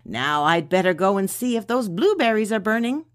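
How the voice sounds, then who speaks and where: A wise old aunt acted by a woman in a comic dub. She chides gently and fondly, with lively intonation in a dry recording. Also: mid-range voice, normal speed, no echo, close to an everyday voice. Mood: fond, chiding. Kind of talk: amateur comic dub acting.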